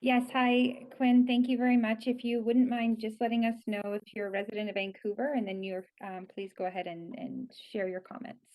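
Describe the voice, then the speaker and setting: A woman's voice: a little high, flat delivery, fairly quick, few pauses, lo-fi sound. Polite woman chairing a council meeting. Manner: polite, formal procedural phrasing. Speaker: committee chair.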